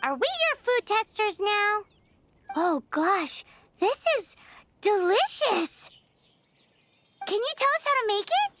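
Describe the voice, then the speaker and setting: A woman voicing a tiny, childlike floating companion, boastful and greedy, with a squeaky voice, in video game dialogue. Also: expressive, slow, pauses often, a bit bright, heavily acted. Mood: delighted. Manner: childish, exclamatory.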